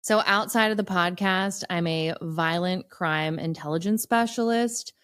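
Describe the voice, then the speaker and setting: A woman's voice: on the low side, measured pace, fairly bright tone, smooth, no echo, clean studio sound. A woman guest on a podcast, talking casually in an interview.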